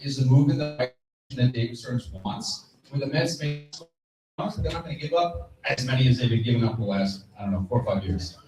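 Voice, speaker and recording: A man's voice: mid-range voice, a little fast, distant mic. A man on a live sports panel, giving his opinion in emphatic, dramatic phrases. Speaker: baseball panelist. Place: live convention panel stage. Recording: echoey room, off mic, crowd behind. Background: live audience.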